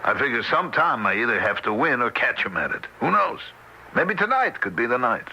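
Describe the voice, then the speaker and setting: An actor playing a weary, wry, sympathetic middle-aged commuter in an old-time radio drama, talking conversationally. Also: male voice, medium pitch, sing-song, fairly quick, sharp tone, muffled recording, a little hiss or hum, full character voice.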